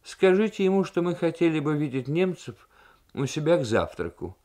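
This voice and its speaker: A man's voice: fairly high pitch, sing-song, a little mellow, pitched above the performer's own voice. Man reading as composed, insistent senior diplomat, raised voice. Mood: polite, insistent. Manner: deliberate, polite but insistent. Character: commanding, composed male Soviet diplomat giving instructions.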